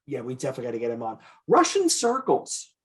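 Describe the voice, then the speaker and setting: A man's voice: medium pitch, huge pitch swings, fast talker, a bit bright, breathy edge. A man co-hosting a podcast in casual, profane, enthusiastic chat about what he is a fan of. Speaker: podcast co-host.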